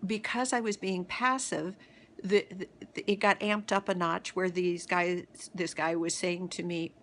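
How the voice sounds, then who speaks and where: A middle-aged woman telling a reflective personal story as host of a daily video series, halting often, with pauses and restarts. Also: lowish voice, fairly even intonation, a bit bright.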